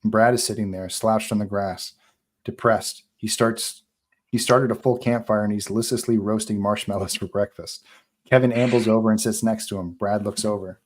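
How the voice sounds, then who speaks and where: A man's voice: lowish voice, steady tone, normal speed. A man narrating stage directions at a live screenplay table read.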